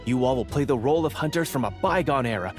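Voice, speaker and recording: A man's voice: mid-range voice, lively intonation, fast, faint music behind, close to an everyday voice. A man voicing a polite, modest, helpful script manager in a video game, courteous and explanatory.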